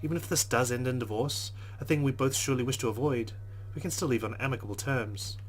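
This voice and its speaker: A man's voice: mid-range voice, fast talker, faint music behind. A man hosting a game playthrough, reading the story aloud with earnest delivery and breaking into casual asides. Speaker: let's play host.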